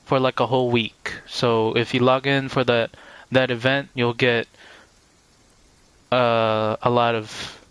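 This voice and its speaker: A man's voice: mid-range voice, flat delivery, takes it slowly, a bit bright, clean tone, no room sound, poor audio. A man chatting casually and in a rambling way as a game streamer, talking to his viewers over gameplay. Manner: rambling, addresses viewers by name.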